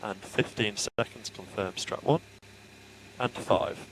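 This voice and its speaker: A man's voice: fairly low pitch, steady tone, slow. A man working as a race engineer, giving clipped, procedural updates over team radio. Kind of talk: terse race radio updates, calm reporting. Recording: noisy team radio, humming engine noise. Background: loud hum and vehicle noise.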